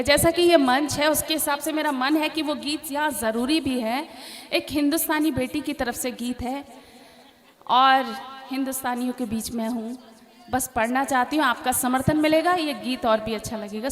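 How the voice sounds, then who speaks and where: Woman poet singing her lines on a stage mic, high voice, long reverb, bit of music behind. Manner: sings verses in a drawn-out lilt, chatty asides to the crowd. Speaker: poet at a mushaira. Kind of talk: sung poetry recital. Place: outdoor evening poetry stage. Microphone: stage microphone.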